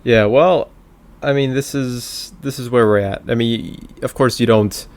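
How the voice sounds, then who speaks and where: A man's voice: medium pitch, fairly even intonation, measured pace. A man co-hosting a political talk podcast, speaking informally and conversationally with hesitant starts.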